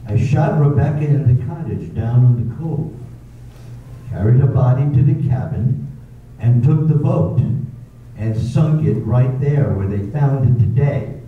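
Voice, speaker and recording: A man's voice: medium pitch, measured pace, lightly acted. A man playing a tormented confessing husband. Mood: grim. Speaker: amateur theater actor. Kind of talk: 1930s-style radio drama acting. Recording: distant, echoey, tinny phone-like sound with faint noise.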